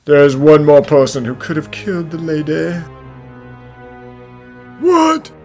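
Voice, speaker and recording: A man's voice: on the high side, wildly swooping pitch, measured pace, a little mellow, soft background music. A man voicing an accusing detective in a fan dub, theatrical and dramatic.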